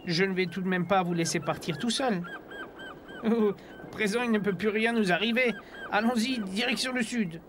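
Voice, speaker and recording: A man's voice: sits high, normal speed, fairly bright tone, slight background noise. A man as a reassuring old cartoon grandfather. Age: older. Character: kindly old grandfather, seasoned boat captain. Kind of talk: dubbed low-budget cartoon dialogue. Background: music.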